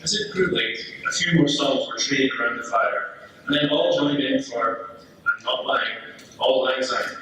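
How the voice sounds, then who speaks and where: A man's voice: mid-range voice, unhurried, long pauses, sharp tone. A man playing a thoughtful British soldier telling his story in a letter home, performed as readers theater. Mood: reflective. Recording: very echoey room, distant mic, faint noise.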